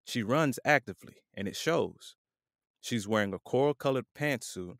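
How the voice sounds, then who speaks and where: A man's voice: medium pitch, lively intonation, normal speed, fairly bright tone, no echo, studio quality. A man reading scripted narration for an audio drama, describing scenes and characters.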